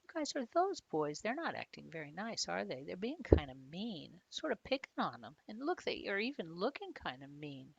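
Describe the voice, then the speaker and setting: A woman, a children's author, reading a storybook aloud expressively, with dramatic pauses and chatty questions to young listeners. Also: fairly low pitch, sing-song, creaky, husky, no echo, lo-fi sound.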